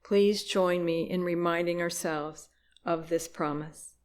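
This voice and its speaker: A woman's voice: fairly low pitch, fairly even intonation, slow pace, a little hiss or hum. A woman worship reader, reading aloud at a church service.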